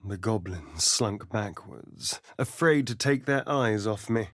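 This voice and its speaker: A man's voice: lowish voice, lively intonation, slow, fairly bright tone, dry recording, clean studio sound, full character voice. A man voicing a suave, sardonic vampire rogue in a fantasy video game, with an arch, theatrical, dramatic delivery. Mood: measured, brooding.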